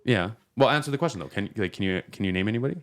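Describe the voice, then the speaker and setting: A man's voice: on the low side, expressive, very fast. A man chatting casually as a podcast host, with informal slang and an emphatic, opinionated way of talking. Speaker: podcast host and reaction video creator.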